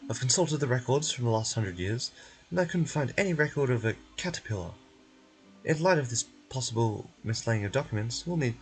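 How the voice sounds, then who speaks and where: A man's voice: medium pitch, low-quality recording. A man voicing a stern, officious game official obsessed with order, formal and strict.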